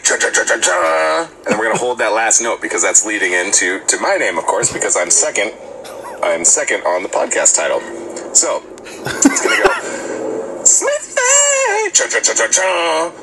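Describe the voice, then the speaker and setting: Male co-host, demo tape, wild falsetto yells, audible noise and passing cars. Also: huge pitch swings, normal speed, creaky. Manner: belting falsetto rock shrieks between dry explanation. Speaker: podcast co-host. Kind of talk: playing back a recorded song demo.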